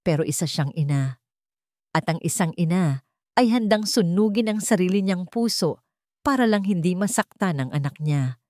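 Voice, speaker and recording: Female storyteller for dramatic fiction, soft dark timbre, lower register, close dry recording. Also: lively intonation, normal speed, no room sound. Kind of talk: dramatic scripted narration.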